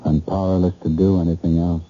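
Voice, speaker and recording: An actor playing a nervous, helplessly infatuated married man with an American accent, halting and intense in an old-time radio drama. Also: deep voice, level tone, warm tone, close to an everyday voice. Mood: desperate, resigned. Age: middle-aged. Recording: muffled, noisy old radio recording.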